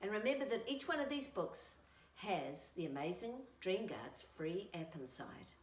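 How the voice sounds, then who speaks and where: A bright-voiced woman reads a storybook for little children. Her delivery swoops widely and singsongs along at an unhurried pace, in a roomy, echoey space. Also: fairly low pitch. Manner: animated, singsong, addressing young children. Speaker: storytime host and children's author.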